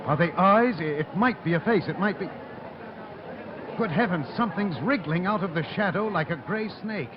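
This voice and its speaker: Male actor as frightened radio newsman, high urgent delivery, old-time eyewitness style, dull noisy recording. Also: moderate pace, lightly acted. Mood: horrified. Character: terrified on-the-spot radio reporter witnessing an invasion. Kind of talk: 1930s radio eyewitness commentary acting. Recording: muffled old broadcast, outdoor noise.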